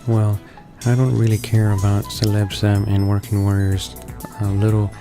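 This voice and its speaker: A man reads game narration aloud in a low, unhurried voice that stumbles and restarts now and then. His delivery swings up and down only modestly, with music clearly playing behind him. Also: completely flat delivery. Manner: halting reading with stumbles and self-corrections. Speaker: visual novel let's player reading along. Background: visual novel background music.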